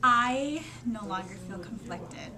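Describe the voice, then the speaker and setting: A woman's voice: a little high, expressive, crowd noise. Thoughtful twenty-four-year-old woman. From refugee camp in Thailand. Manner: measured, thoughtful. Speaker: interviewee, college graduate. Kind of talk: reflective interview answers. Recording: interview with clear background noise.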